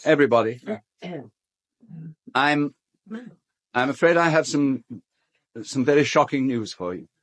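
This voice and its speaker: An actor playing a fussy, courteous middle-aged English gentleman in a radio drama, speaking formally and hesitantly. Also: mid-range voice, unhurried, pauses often, natural voice. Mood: flustered announcing. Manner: hesitant, formal, well-spoken. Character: fussy, courteous gentleman host of an island castle. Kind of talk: British radio drama acting.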